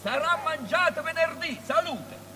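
An actor playing a ranting prophet who declaims cryptic doom in oracular shouts, in an avant-garde radio play. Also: male voice, extremely high pitch, lively intonation, measured pace, over a noisy crowd. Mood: raving. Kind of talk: avant-garde theatrical radio drama acting. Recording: cavernous reverb, faint hum and noise.

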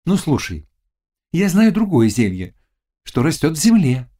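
A man voicing a mocking, scheming Cossack witch woman in an audiobook, with loud, taunting exclamations. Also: fairly high pitch, big pitch swings, measured pace, voice pushed up. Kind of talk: audiobook character dialogue.

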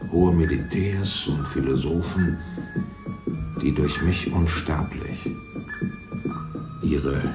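An actor playing an older man who rules a future city, lecturing in a smooth, condescending way. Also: extremely low pitch, wildly swooping pitch, takes it slowly, pauses often, echoey room, over music, heavily acted. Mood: proud. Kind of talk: 1970s radio play acting.

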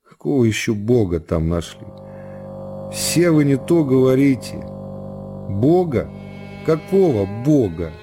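A man's voice: very animated, unhurried, pauses often, over music. A man voicing a bitter, drunken young female convict in a radio drama, scornful and given to angry outbursts. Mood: spiteful. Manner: shrill outbursts, spitting scorn.

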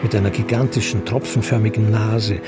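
An actor narrating a radio crime drama in a sober, scripted manner. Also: male voice, fairly low pitch, steady tone, brisk, over music, close to an everyday voice. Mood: measured, atmospheric.

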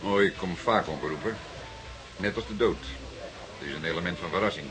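An actor playing a seasoned, persistent police detective in a radio play, speaking deliberately and with dry formality. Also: male voice, sits low, a crowd in the background, close to an everyday voice. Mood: drily menacing. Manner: deliberate, dryly formal, spells out words. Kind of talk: Dutch radio play acting.

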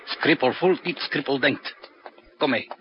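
An actor playing a stern, methodical secret-police chief who speaks in a clipped, authoritative way in a 1960s radio drama. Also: male voice, medium pitch, a little slow, noisy background, natural voice. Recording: muffled 1960s radio recording with music.

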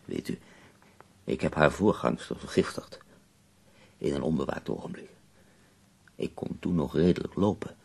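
An actor playing an ailing, melancholy but wry poet in a radio play, his voice gravelly, husky and weary. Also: a bass voice, moderate pace, pauses often, a little mellow, full character voice. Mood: wry relief.

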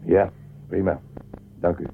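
An actor playing an earnest, insistent and bewildered starship traveller in a radio drama. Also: male voice, deep voice, flat delivery, a little slow, vocal fry. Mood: uneasy, bewildered. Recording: thin phone-like band, faint noise.

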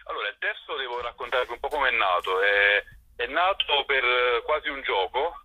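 A man's voice: medium pitch, lively intonation, normal speed, bright, forceful tone. A man, a theatre director being interviewed, explaining things spontaneously in a discursive, enthusiastic way. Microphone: telephone. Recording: muffled, roomy telephone line on radio.

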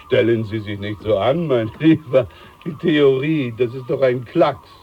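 A man's voice: medium pitch, a little slow, breathy edge, lo-fi sound. A man playing a jovial, scheming older ship's doctor in a German radio play, pompous, overfamiliar and emphatic.

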